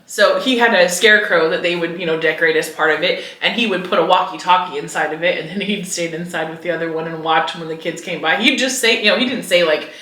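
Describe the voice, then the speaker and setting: Bright-voiced American woman in an echoey room. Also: fairly low pitch, fast talker, few pauses. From American Midwest. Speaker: podcast co-host. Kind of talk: casual faith discussion. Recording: roomy echo.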